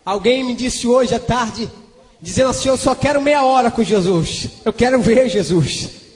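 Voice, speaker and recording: A man's voice: extremely high pitch, moderate pace. A man with a Brazilian Portuguese accent preaching a Pentecostal testimony in a fervent, exclamatory manner. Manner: fervent, exclamatory, breaking into tongues. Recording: long hall reverberation.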